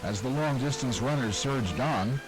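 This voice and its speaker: A man's voice: medium pitch, moderate pace, over music, poor audio. A man with an American accent delivering scripted documentary narration as the narrator. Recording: rain noise over old broadcast audio. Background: steady rain.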